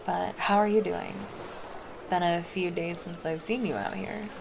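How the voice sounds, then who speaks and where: A woman playing a gravelly, laid-back flirty lifeguard. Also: fairly low pitch, fairly even intonation, measured pace, noisy background. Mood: easygoing. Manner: casual, teasing, easygoing chatter. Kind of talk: ASMR roleplay.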